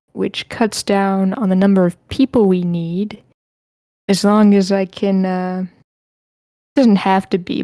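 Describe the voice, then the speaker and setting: Chill lady running an online table read, soft mellow voice, no room sound. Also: medium pitch, no room sound, studio quality. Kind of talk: casual livestream hosting. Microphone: close mic with a sock over it, online voice call.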